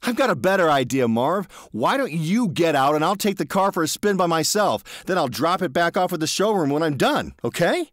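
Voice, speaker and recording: A man voicing himself as a reckless, cocky male thrill-seeker who talks brashly and excitably. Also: sits high, moderate pace, no echo, clean studio sound, voice pushed up. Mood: soothing.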